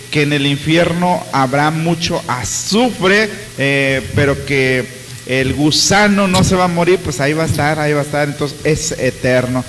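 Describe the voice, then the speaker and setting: Joking radio host with reverb. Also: male voice, on the high side. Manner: joking, relaxed, with laughs and fillers. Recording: reverberant, faint music behind.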